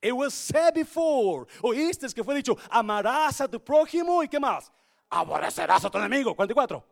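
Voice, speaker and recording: A male pastor with a Spanish accent preaches with a high, lively voice that climbs and falls all over. He mixes Spanish and English mid-sentence and moves between hushed lines and full shouts. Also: moderate pace, vocal fry. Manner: swings from quiet to shouting. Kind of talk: bilingual sermon.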